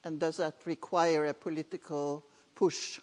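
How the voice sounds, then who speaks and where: A woman's voice: deep voice, fairly even intonation, darkish tone. Norwegian woman moderating in a reverberant hall. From Norway. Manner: measured, hesitant questioning with fillers. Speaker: panel moderator. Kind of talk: moderating a conference panel. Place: large conference hall.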